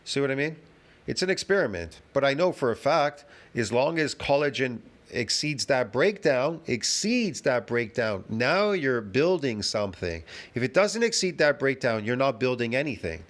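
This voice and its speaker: A man's voice: mid-range voice, sing-song, normal speed. Man, emphatic, repetitive, rhetorical questions, reaction commentary. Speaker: fitness commentator.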